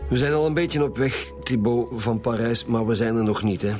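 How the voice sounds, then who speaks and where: A man's voice: medium pitch, a little fast, a little mellow, soft background music, close to an everyday voice. A man playing a tough, sardonic police commissioner interrogating a suspect in a radio drama, blunt and impatient, with a Flemish accent. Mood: menacing. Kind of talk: naturalistic radio drama dialogue.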